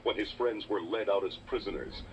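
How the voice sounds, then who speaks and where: A man's voice: on the low side, fairly quick. A man narrating a children's read-along adventure in a dramatic, scripted storytelling style. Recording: muffled distorted toy cassette playback. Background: loud dramatic music.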